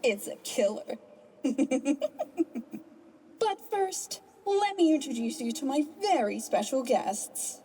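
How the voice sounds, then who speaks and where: A woman's voice: sits high, lively intonation, slow, pauses often, dark tone, slight background noise. A woman playing a gloating, theatrical rabbit trickster villainess in an audio drama, mocking and hammy like a stage host. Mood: showboating, gleeful. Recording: music under the voice.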